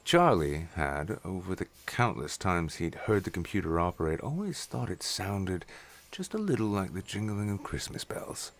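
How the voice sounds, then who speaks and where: Low-voiced male story narrator. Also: very animated, normal speed.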